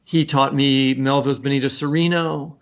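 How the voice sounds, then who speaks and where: A man's voice: medium pitch, fairly even intonation, normal speed, lo-fi sound. A man guesting as a writer on a literary podcast, talking earnestly and thinking aloud as he explains. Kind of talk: conversational literary podcast discussion.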